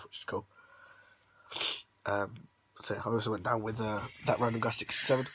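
A young man with a hoarse, worn-out voice, unwell and sniffly, casually recapping in a rambling vlog, lying in his bedroom and talking into a phone held at arm's length. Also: lowish voice, a bit bright, slight background noise, poor audio. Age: young adult. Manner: rambling with many ums, upbeat. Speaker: vlogger. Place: bedroom at night, lying on a bed. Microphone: phone held close at arm's length.

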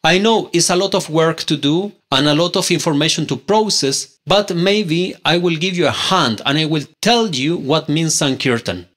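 A man's voice: on the high side, moderate pace, hardly any pauses, fairly bright tone. A man in his thirties with a Spanish accent, a native Spanish speaker teaching scripture online, speaking emphatically and indignantly with repeated rhetorical questions into a close microphone. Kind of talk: online scripture study, argumentative. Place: indoor room, screen-share with webcam. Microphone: pink handheld mic on stand, close.

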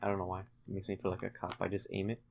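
Low, creaky, flat-voiced young man gaming. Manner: halting, low-key, distracted while concentrating. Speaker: gamer playing and commentating. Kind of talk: casual let's-play commentary.

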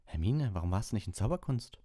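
A man's voice: on the low side, sing-song, fast talker, warm tone, pitched above the performer's own voice. A man voicing a puzzled schoolboy in a storybook, matter-of-fact and questioning.

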